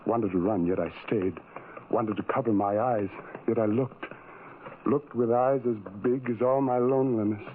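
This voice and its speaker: An actor playing a lonely, tormented man in a radio horror drama, with a brooding, halting, intense confessional delivery. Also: mid-range voice, fairly even intonation, a little hiss or hum, lightly acted. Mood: yearning. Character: lonely, tormented, self-loathing man who hides from people. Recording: tinny old radio recording, music behind.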